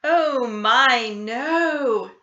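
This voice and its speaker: A sweet old storybook lady, played by a woman reading aloud, speaks in a high, bright voice. She is gentle and kindly, and takes her time with every phrase. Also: very slow, lo-fi sound.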